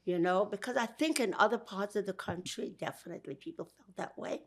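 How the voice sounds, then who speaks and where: An older woman from Georgia with a Brooklyn accent, recalling her family's past in an interview. She speaks warmly, often laughs, and restarts her sentences. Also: mid-range voice, expressive, a little fast. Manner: warm, with frequent laughs and restarts. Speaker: guest. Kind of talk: interview, memories.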